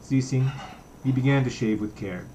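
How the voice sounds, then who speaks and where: A man's voice: medium pitch, normal speed, dark tone, slight background noise, low-quality recording. A man narrating literary fiction, reading a novel aloud as an audiobook reader.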